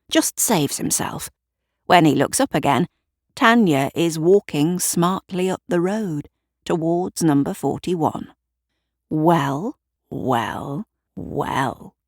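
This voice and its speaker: A woman's voice: low-pitched, no room sound, clean studio sound. A woman narrating a scripted story in a wry, descriptive manner. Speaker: writer and narrator.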